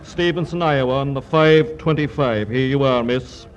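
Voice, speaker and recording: An actor playing a bored, impatient, sardonic railroad ticket clerk in a 1940s radio comedy. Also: male voice, medium pitch, normal speed, highs cut off, lightly acted. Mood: businesslike, curt. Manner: curt, sardonic. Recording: noisy vintage radio recording.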